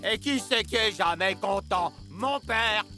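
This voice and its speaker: A man's voice: unhurried, pushed and bright, faint music behind. An actor with a French accent playing a touchy, anxious father who fusses indignantly in a cartoon. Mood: resentful. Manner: fretful, indignant, high-strung. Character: touchy, anxious pastry-chef father, devoted to his son. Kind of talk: animated series voice acting.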